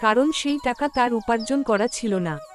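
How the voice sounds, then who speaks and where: A woman's voice: fairly high pitch, moderate pace, slight background noise. A woman storyteller reading a scripted moral tale for a cartoon.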